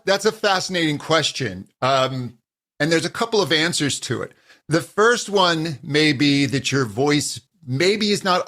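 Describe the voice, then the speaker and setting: A man who coaches voice users, answering interview questions and explaining at an uneven, animated pace. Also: mid-range voice. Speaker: voice coach, guest.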